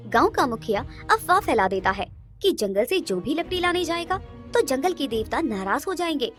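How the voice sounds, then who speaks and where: A female narrator tells a scripted story. Her voice sits fairly high, and music plays faintly behind her. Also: sing-song, normal speed, a bit bright.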